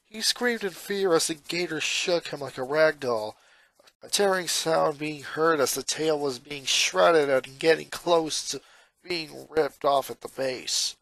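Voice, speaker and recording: A man's voice: a little high, unhurried, gravelly, fairly bright tone, dry recording, rough recording. A man reading a story aloud as a scripted narrator. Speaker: fan fiction reader, narrator.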